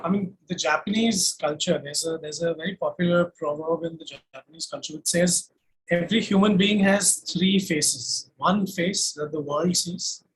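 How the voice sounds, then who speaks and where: A man with an Indian accent giving a reflective interview as a guest, explaining things deliberately. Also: high-pitched, rough recording. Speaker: guest, singer-songwriter. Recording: faint background noise.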